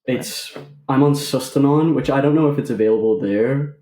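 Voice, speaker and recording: A man's voice: mid-range voice, moderate pace, warm tone, roomy sound, rough recording. A twenty-six-year-old man from Ireland with a mixed Irish and English accent, speaking as a podcast guest in an easygoing, self-deprecating way with hesitant "um"s. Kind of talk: casual interview.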